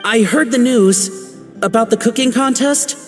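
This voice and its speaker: A man's voice: very high voice, normal speed. An actor voicing an earnest, polite young man worried about his father. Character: eager, modest young man, a son. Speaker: video game character. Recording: reverberant game audio with music.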